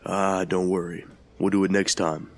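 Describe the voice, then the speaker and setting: A man voicing an easygoing young male coworker who reassures a friend in a low-key way. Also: flat delivery, moderate pace, lightly acted. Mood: reassuring.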